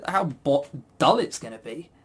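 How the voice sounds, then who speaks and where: A man's voice: a little high, expressive, moderate pace, a bit bright, breathy edge. A man hosting a casual chat about games, with a sarcastic, mock-grumbling manner. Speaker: podcast host. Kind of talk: casual chat reviewing visual novels.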